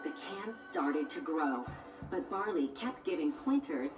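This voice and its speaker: Female storybook narrator for children, lowish voice, scripted read, music underneath. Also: sing-song, measured pace. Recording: echoey, distant, music behind.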